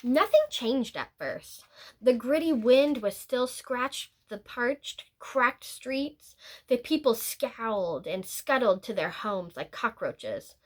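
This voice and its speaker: Slow, expressive woman reading a storybook aloud. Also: a little high. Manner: deliberate, expressive storytelling with drawn-out words and pauses. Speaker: read-aloud teacher. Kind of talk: picture-book read-aloud, scripted narration with brief asides.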